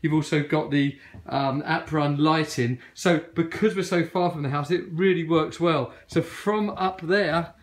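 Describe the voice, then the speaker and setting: A man's voice: fairly high pitch, a little slow, hardly any pauses. A man giving a casual, enthusiastic walkaround tour of a garden gym he built, filmed outdoors on a handheld phone, with frequent ums and uhs. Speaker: garden building company representative. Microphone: phone camera held while walking.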